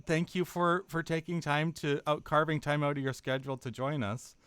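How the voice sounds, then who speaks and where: A man hosting a casual podcast interview, speaking politely and in a halting way. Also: a little high, steady tone, fairly bright tone, clean tone, no room sound. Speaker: podcast host.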